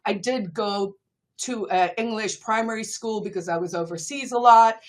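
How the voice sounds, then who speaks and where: A middle-aged woman from South Africa, a native Afrikaans speaker with a South African accent, talking as an interview guest in a lively, animated way, laughing between points. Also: mid-range voice, normal speed. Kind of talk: conversational interview storytelling.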